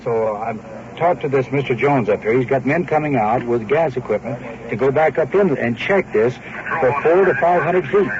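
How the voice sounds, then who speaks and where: An American man with a medium-pitched voice gives a practical field briefing. The recording is dull and clearly noisy, like old location tape. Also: normal speed. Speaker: official at a search scene.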